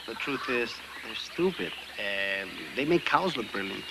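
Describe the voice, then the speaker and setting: An actor playing a cocky, sarcastic second-in-command with a wry comic delivery. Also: male voice, on the low side, expressive, measured pace. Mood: sheepish deadpan. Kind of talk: broad comic TV acting. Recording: noisy VHS tape with ambience.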